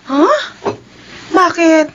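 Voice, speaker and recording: A woman's voice: very high voice, sing-song, drawn-out words, dull audio, slight background noise, heavily acted. A woman playing a caring, chatty young best friend in a Filipino radio drama, coaxing and exclaiming on her friend's behalf. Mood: coaxing, concerned.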